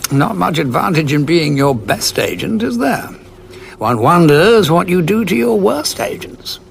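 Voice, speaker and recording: An actor with a British accent playing a weary, indignant old Time Lord warrior in an audio drama, gravelly, dry and sarcastic. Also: male voice, high-pitched, big pitch swings, a little slow, a little hiss or hum, heavily acted. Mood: bitterly sarcastic. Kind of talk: full-cast audio drama acting.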